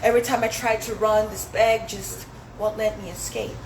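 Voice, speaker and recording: A woman's voice: medium pitch, steady tone, normal speed. A young woman from Zambia with an African accent, a native Swahili speaker, performing spoken word poetry live. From Zambia, later the United States. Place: indoor dinner event with audience. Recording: echoey room with loud background noise.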